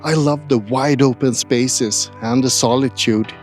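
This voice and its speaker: A man's voice: medium pitch, steady tone, a little slow, faint music behind. A man from Sweden, narrating his travel vlog in a dry, wry and grumpy humor. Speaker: travel vlogger.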